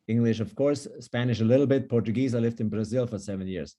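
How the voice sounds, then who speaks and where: Enthusiastic German-accented man, mellow voice. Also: mid-range voice, quick pace. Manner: enthusiastic, emphatic. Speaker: longevity coach. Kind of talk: online panel discussion. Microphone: video call.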